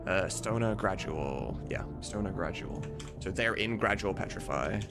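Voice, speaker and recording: A low-pitched man in his thirties talks off the cuff to his live stream audience. His delivery swings widely up and down as he rambles candidly, with music clearly playing behind him. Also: animated, measured pace. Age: 30s. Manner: rambling, candid, swears casually. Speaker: live streamer, game dub maker. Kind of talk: casual live stream chat.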